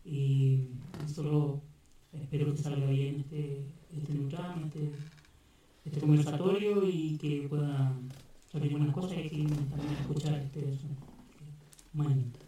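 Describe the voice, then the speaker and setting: Slow, measured man in an echoing hall. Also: fairly high pitch, level tone, mellow. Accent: Chilean Spanish. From southern Chile. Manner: slow, measured, ceremonial greeting. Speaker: community member greeting the gathering. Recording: echoey hall, mic far off.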